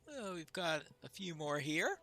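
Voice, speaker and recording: A man's voice: fairly high pitch, big pitch swings, a little slow, bright, forceful tone, slight background noise. A man narrating his video game playthrough in a casual, wry, musing way. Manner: wry, musing, mock-polite.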